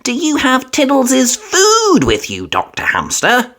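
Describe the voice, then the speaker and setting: An excitable little girl pig from a children's storybook, voiced by a woman. The voice is pitched way up and swings about with eager enthusiasm. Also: slow, slight background noise, exaggerated voice. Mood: helpful. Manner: bright-eyed eagerness, exclaiming.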